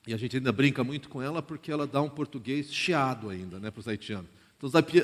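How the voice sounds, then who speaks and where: Higher-voiced Brazilian pastor in a reverberant hall. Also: male voice. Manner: colloquial, direct, acts out short imagined dialogues. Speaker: pastor preaching to a congregation. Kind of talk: informal conversational sermon. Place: large reverberant church hall.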